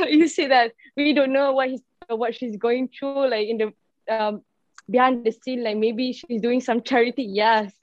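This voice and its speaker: Malaysian-accented woman guest, halting and giggly, dull lo-fi recording. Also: on the high side, no room sound. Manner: hesitant, giggly. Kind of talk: casual podcast chat.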